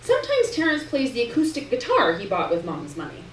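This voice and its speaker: A woman's voice: on the high side, wildly swooping pitch, a little fast. A woman reading a literary story aloud at a live event indoors. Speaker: story reader. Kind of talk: literary narration read aloud to an audience. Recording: roomy distant live recording, noticeable hiss.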